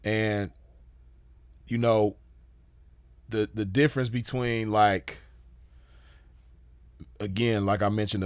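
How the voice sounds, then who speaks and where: A man's voice: fairly low pitch, no echo. A man giving a casual commentary monologue as host, laid-back and full of "you know" fillers. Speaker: podcast host.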